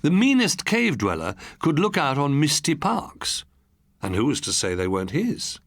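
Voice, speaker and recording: Expressive male storyteller with lively intonation. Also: mid-range voice, no room sound. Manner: measured, expressive, literary delivery. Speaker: audiobook narrator. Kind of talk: scripted first-person story narration.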